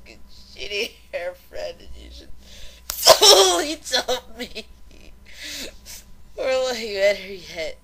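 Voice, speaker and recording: A woman's voice: on the high side, fairly bright tone, heavily acted, low-quality recording. A woman playing a drunk, lovesick young mare disc jockey who slurs and rambles melodramatically in a fan fiction audio drama. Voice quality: slurring.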